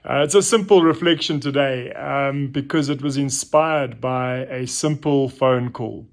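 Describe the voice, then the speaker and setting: A man's voice: medium pitch, a little slow. Middle-aged male host, mid-range voice, for an informal spoken reflection; thoughtful, hesitant delivery. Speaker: host of a reflective story series.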